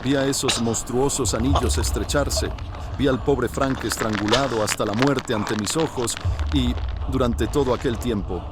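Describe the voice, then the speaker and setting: A man voicing an anguished, horrified man in a horror audiobook, confessing a killing in a hoarse, intense, dramatic way. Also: mid-range voice, moderate pace, very noisy, natural voice. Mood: horrified, desperate.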